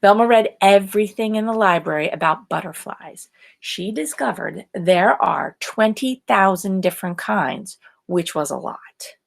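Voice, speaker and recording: A woman reading a children's story aloud in a deliberate, expressive and emphatic way. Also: mid-range voice, vocal fry. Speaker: storybook reader.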